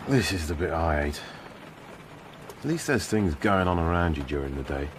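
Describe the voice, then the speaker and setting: An actor playing a wry, grumbling detective stuck on a night stakeout in a radio crime drama. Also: male voice, low voice, very animated, moderate pace, background noise. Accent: British English. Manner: dry, grumbling.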